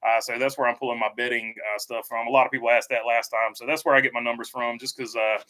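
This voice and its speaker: A man hosting a casual live stream, talking in a halting way with many fillers while reading out viewer comments. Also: medium pitch, flat delivery, pushed and bright. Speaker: livestream host.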